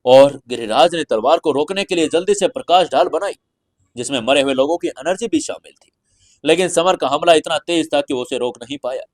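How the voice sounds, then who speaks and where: An Indian man reads a scripted fantasy audio story in a theatrical, deliberate storytelling manner. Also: on the high side, no echo. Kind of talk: dramatic scripted fantasy narration.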